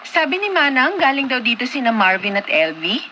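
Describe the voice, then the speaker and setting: A woman's voice: sits high, big pitch swings, fairly quick, noisy background. A woman with a Filipino accent acting a gracious young heiress in a Tagalog radio drama. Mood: bright, affectionate. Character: Julia, young Filipina heiress, once haughty, now gracious.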